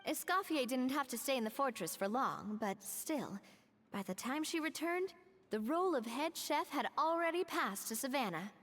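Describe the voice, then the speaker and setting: A friendly young woman explains things in a high, animated voice. Her delivery is composed and clear-worded, in anime game style, with faint music behind. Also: moderate pace, in a large hall. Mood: explanatory.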